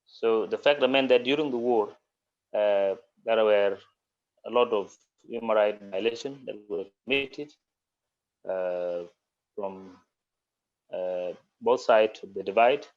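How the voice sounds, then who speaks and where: A South Sudanese man speaks in a low voice over a dull, lo-fi video call. He answers as an official would, formally and deliberately, with legalistic phrasing. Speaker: human rights commissioner, interview guest.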